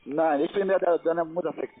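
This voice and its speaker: A man's voice: fairly high pitch, steady tone, normal speed, a little hiss or hum. A man speaks as a call center agent. The sound comes through a thin, narrowband telephone line. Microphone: phone call. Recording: tinny narrowband phone line.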